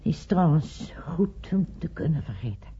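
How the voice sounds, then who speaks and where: A fearful, guilt-haunted older wife. Her voice is lowish and very husky, with a brooding, weary delivery. It is an old radio play recording, dull in sound. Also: big pitch swings, a little slow, mellow, exaggerated voice. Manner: brooding, anxious. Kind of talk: radio play acting in Dutch.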